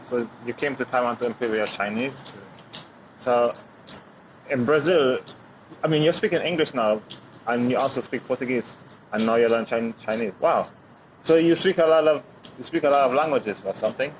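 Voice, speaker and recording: Male street interviewer, inquisitive and repetitive, mid pitch, light ambience. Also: slight background noise, low-quality recording. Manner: curious, repeats words, quick follow-up questions.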